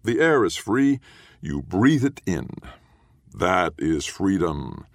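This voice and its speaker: A man reading literary fiction as an audiobook narrator, in a measured, solemn storytelling manner. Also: low voice, sing-song, dry recording, clean studio sound.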